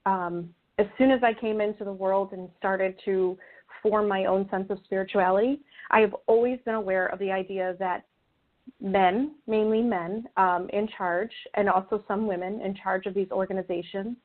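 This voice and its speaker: A woman's voice: mid-range voice, fairly even intonation, normal speed. A woman answering as an interview guest, earnest and conversational, often rephrasing as she goes. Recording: thin phone-like call audio.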